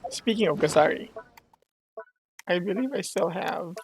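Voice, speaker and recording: A woman's voice: fairly low pitch, measured pace, a little mellow, a little hiss or hum. A woman giving offhand commentary as she plays a video game, sometimes reading out dialogue choices. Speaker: gaming YouTuber playing a game. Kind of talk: casual playthrough commentary.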